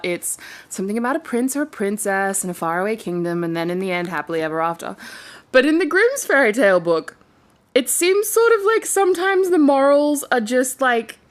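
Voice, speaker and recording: A woman with a playful, giggly manner, hosting a story-reading channel in a casual storytelling style. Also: fairly high pitch.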